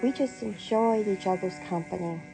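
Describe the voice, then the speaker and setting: A woman's voice: mid-range voice, normal speed, poor audio. American woman, playful storyteller over music. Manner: playful, sassy, acts out dialogue. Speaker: storyteller, online content creator. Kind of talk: casual personal storytelling to camera. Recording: music playing behind the voice. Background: clear background music.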